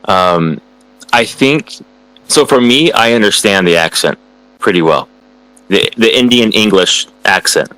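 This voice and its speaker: American man, guest on a video call, low-pitched, filler-heavy, halting, dry close sound. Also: expressive, pushed and bright, no room sound, rough recording. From United States. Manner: hesitant, many fillers and restarts. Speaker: guest speaker answering students. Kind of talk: casual online conversation.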